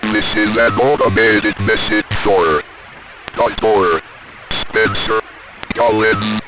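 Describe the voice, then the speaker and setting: Loud electronic music plays around an automated announcement machine voiced by a man. The voice is lowish, impersonal and very slow, setting out each word in a stilted way. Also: steady tone. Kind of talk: automated message.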